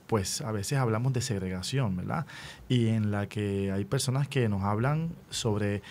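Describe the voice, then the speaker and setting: A man's voice: medium pitch, a little hiss or hum. Male radio interviewer, Puerto Rican accent, mid-range voice, conversational, checks in often with tag questions.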